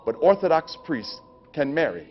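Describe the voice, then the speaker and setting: A man's voice: fairly high pitch, sing-song, moderate pace. Man narrating, dull audio over music. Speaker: police chaplain, presenter. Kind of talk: scripted narration. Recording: dull, lo-fi training video audio.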